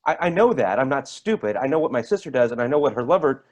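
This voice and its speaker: A man plays a bitter, sarcastic guy in a stage drama. His pitch swings widely as he needles, stumbling and repeating words to make his point. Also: big pitch swings, fast. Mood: bitter sarcasm. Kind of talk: staged play reading.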